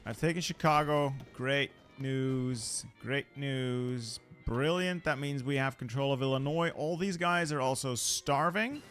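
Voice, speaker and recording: A man's voice: mid-range voice, a little slow, hardly any pauses, a bit bright, faint music behind. A man hosting a gaming channel, giving wry, playful live commentary on a strategy game, his voice slightly worn. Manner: wry, playful, jokey asides.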